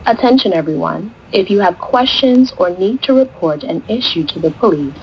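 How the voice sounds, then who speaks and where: A woman's voice: mid-range voice, expressive, normal speed. An American woman reads a scripted public-service announcement for transit riders. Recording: dull recording aboard train, faint noise. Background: faint train ambience.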